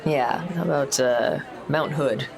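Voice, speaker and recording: Male player, gravelly, thinks aloud with frequent laughs; crowd behind. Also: medium pitch, unhurried, over music. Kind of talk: casual tabletop play.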